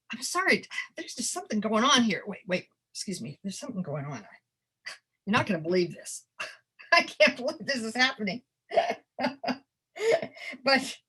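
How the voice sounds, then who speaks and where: A woman presenting a storytelling workshop in an animated, theatrical and encouraging conversational manner. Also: high-pitched. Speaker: storytelling presenter and early literacy educator. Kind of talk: workshop demonstration with storytime performance.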